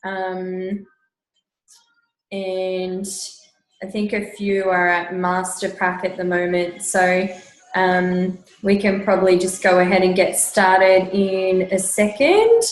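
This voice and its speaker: A woman, organizing a video call stream in a chatty, unhurried way with many fillers. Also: fairly low pitch. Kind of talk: casual organizing chat. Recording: echoey room.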